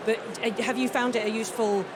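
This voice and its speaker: A woman's voice: a little high, fairly even intonation, quick pace. A woman presenting a live radio interview, chatty and enthusiastic in manner. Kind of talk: live broadcast interview and commentary. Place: large concert hall during the interval. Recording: clear crowd and venue noise.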